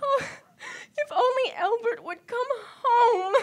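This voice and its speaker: An actress playing a frantic, self-pitying, demanding invalid wife in a melodramatic radio play, with a stammering, imperious way of talking. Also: female voice, very high-pitched, slow, noisy background. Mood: anxious, fretful. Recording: live stage recording.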